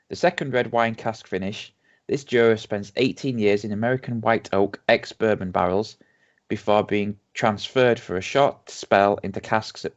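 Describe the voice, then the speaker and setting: A man with a Yorkshire accent co-hosting a casual whisky tasting podcast. He reads tasting notes slowly and teases his friend. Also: on the low side. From Yorkshire, England. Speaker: podcast co-host. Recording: dull lo-fi home podcast audio.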